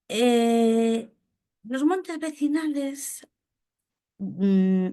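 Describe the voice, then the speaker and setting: A woman giving an explanatory lecture, unhurried, pausing with fillers and checking in with her listeners. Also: medium pitch, darkish tone. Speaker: speaker on commons law. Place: Galicia, Spain.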